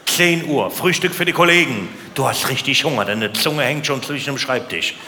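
Male stand-up comedian, animated storyteller, repetitive phrasing, live hall with long reverb and clear noise. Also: mid-range voice, normal speed. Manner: repeats words, acts out little scenes. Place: large live venue. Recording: echoey hall, live stage, noisy.

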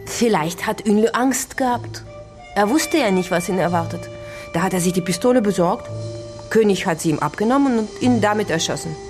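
A woman's voice: medium pitch, expressive, brisk, music in the background, natural voice. An actress playing a sceptical, inquisitive woman working through a case, direct and reasoning, in a naturalistic radio crime drama.

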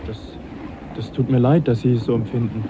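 An actor playing a modest, sceptical young English naturalist in a German radio drama, speaking in a reflective, politely questioning way. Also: male voice, medium pitch, fairly even intonation, moderate pace, natural voice. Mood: concerned. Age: young adult. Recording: dull, faintly noisy radio drama recording.

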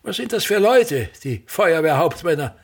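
An embittered, self-important theatre director in a radio drama. His voice sits rather high for a man, with a gravelly creak. He declaims querulously, hammering phrases home and pausing for weight. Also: very animated, full character voice. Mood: irritated, disdainful. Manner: declamatory, repetitive, querulous tirades with emphatic pauses. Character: pompous, embittered ageing male theatre impresario ranting at everything. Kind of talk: German-language radio play acting.

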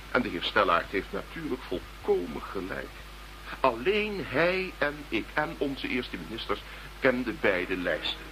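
An actor playing an indignant, stately veteran politician in a radio play, formal, emphatic and pompous. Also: male voice, medium pitch, natural voice. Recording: slightly noisy radio drama recording.

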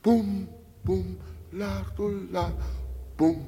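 A man's voice: sits high, flat delivery, drawn-out words, dark tone, slight background noise, full character voice. An actor playing a deranged old father who solemnly declaims and chants like a pompous emperor in a German radio play. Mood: ceremonial chanting. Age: older.

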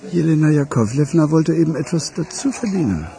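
A man's voice: on the high side, lively intonation, brisk, vocal fry, dark tone. A man playing a nervous, gossipy underling in a radio crime drama, hesitant and deferential. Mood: eager to please. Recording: crowd chatter behind. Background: crowd.

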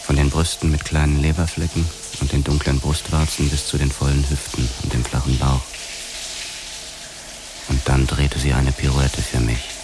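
An actor playing a brooding, terse young police detective who narrates a noir crime drama in a measured, sombre way. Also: male voice, a bass voice, level tone, over music. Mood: uneasy, restrained. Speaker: first-person narrator.

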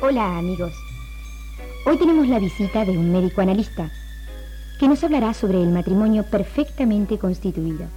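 An actress with an Argentine accent playing a polite, formal television interviewer in a 1960s radio drama. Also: female voice, mid-range voice, animated, moderate pace, mellow, natural voice. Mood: polite, presentational. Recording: dull old radio recording, faint music.

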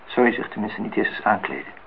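A lonely, brooding engineer in a radio play. His voice sits fairly low and he has an insistent, melancholy temper. The recording is muffled, with some hiss and noise. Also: lively intonation, quick pace, gravelly. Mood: insistent, piqued. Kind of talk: radio play dialogue and monologue.

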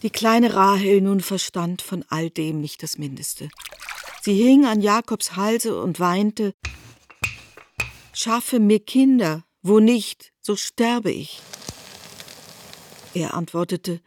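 A woman's voice: medium pitch, animated, takes it slowly, long pauses, a little hiss or hum. Precise female literary narrator. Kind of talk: literary radio reading.

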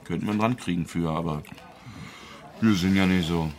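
An actor playing a laid-back, wry investigator in a naturalistic radio drama, speaking in a casual, offhand way. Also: male voice, low-pitched, normal speed, a little mellow, close to an everyday voice.

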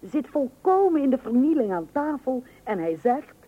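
A woman's voice: high voice, sing-song, moderate pace, breathy edge, heavily acted. An actress playing a chatty, coaxing housewife and mother in an old radio comedy. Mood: wry narrating. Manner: eager, wheedling, hesitant interjections. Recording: dull, muffled old radio recording.

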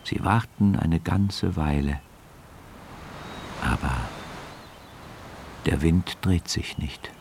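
A man narrating a children's radio play as an expressive, deliberate storyteller. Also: deep voice, gravelly, noisy background. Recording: studio narration with music underneath.

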